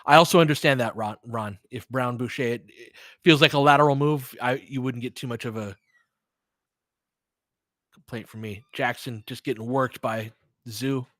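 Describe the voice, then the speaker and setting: A man's voice: mid-range voice, normal speed, pauses often, fairly bright tone, dry recording. A man with an American accent giving casual live basketball commentary, thinking aloud and chatting conversationally with listeners. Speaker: host of fan play-by-play livestream.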